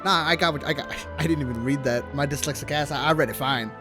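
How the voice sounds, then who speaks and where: A man's voice: fairly high pitch, fast, over music. A man livestreaming a game, giving casual, informal commentary to his chat with loose, reactive asides.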